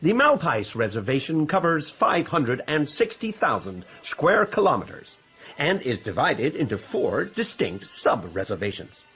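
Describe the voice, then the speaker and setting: Pompous, animated male official. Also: medium pitch, measured pace, faint music behind. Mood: officious. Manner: pompous, droning official recital with throat-clearing. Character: officious, long-winded, condescending reservation warden. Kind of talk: radio drama acting.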